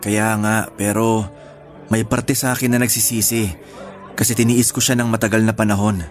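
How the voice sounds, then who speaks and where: A man playing a quiet, gentle homebody in radio drama dialogue, talking in a casual, matter-of-fact way. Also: on the low side, level tone, normal speed, lightly acted. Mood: reflective. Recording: faint music under dialogue.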